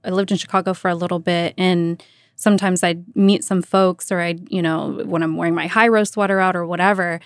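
A woman's voice: fairly low pitch, steady tone, a little fast, no echo. American woman interviewing, casual, dry sound. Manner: casual, with "like" often thrown in. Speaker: radio interviewer, host. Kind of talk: conversational interview.

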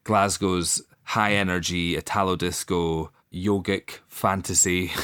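Man, podcast co-host, very low pitch, dry close sound, playful, giggly. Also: fairly bright tone, dry recording. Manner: jokey, giggly, playful swearing. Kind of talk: casual label podcast chat.

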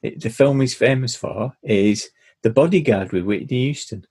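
A man's voice: medium pitch, moderate pace, darkish tone, breathy edge, no room sound. A middle-aged man, explaining thoughtfully on a podcast, with plenty of ums. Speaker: podcast co-host. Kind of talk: casual chat.

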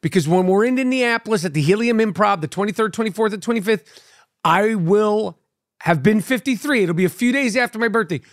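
A man's voice: high-pitched, a little fast, dry recording, studio quality. A brash, profane man in his fifties with a New York accent, hosting a casual podcast and making mock demands. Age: 50s. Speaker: podcast host.

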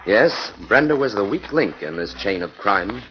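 Brief: a man doing an assured detective, very low voice, muffled old radio sound. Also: animated, moderate pace, close to an everyday voice. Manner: confident, assured, gently teasing. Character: male detective hero, confident and teasing. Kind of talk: 1940s radio acting. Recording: muffled 1940s radio recording.

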